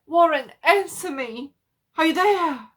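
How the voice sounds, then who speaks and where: A woman's voice: extremely high pitch, lively intonation, takes it slowly, a bit bright, pitched above the performer's own voice, full character voice. A woman voicing a horror-stricken man calling anxiously and pleadingly for his lost friend in a dramatic story reading. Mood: frantic pleading.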